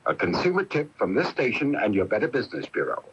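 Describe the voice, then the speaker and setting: A man's voice: fairly low pitch, big pitch swings, fast, fairly bright tone, slightly rough. A man reading a scripted consumer advice announcement on the radio. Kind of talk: scripted public service announcement. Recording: dull radio broadcast.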